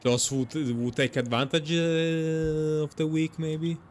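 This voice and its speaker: Higher-pitched man reacting casually to a game. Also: level tone, takes it slowly. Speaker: streamer playing a game.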